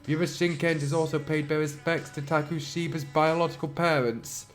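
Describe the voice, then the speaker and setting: A man with a slightly high voice and a sore throat reads fan fiction aloud. He keeps cutting in with dry, sarcastic remarks and clears his throat a lot. Faint music plays softly underneath. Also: fairly even intonation, normal speed. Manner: dry, mocking asides, frequent throat clearing. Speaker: channel host reading fan fiction. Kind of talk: dramatic reading with sarcastic commentary.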